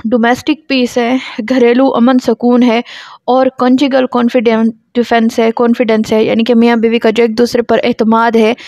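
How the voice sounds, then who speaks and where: A woman law lecturer, reading legal passages slowly and then explaining them to students. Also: fairly high pitch, flat delivery, darkish tone, no echo, muffled recording. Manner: reads English passages slowly, then explains in Urdu-style Hindi.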